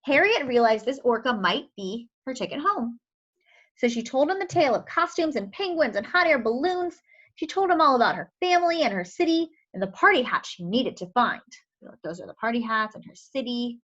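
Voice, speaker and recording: A woman storyteller reading a picture book aloud to young children in an expressive, explaining way, with questioning asides. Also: a little high, moderate pace, lo-fi sound.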